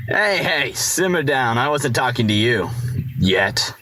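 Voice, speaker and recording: A man's voice: mid-range voice, sing-song, unhurried, pushed and bright, full character voice, low-quality recording. A man playing a sneering, condescending small-town bully in an amateur radio play, mocking and taunting.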